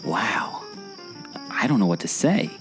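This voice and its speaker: A man's voice: lowish voice, big pitch swings, takes it slowly, over music, exaggerated voice, rough recording. A man playing a naive, curious sidekick with a taste for corny jokes in a scripted audio drama. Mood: curious, surprised. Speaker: curious companion.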